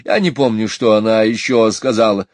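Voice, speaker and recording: A man voicing a fussy, indignant mother in a storybook reading, in a high, shrill falsetto. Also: fairly even intonation, moderate pace, no room sound, natural voice. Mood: scolding. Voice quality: high falsetto, pitch far above his own. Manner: exclaiming, offended, scolding.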